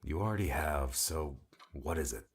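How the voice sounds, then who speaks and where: An actor playing a curt, aloof guardian, a weary loner who speaks tersely and coldly in an audiobook drama. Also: male voice, very deep voice, normal speed, slightly rough. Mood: curt, detached. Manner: terse, dismissive, cold.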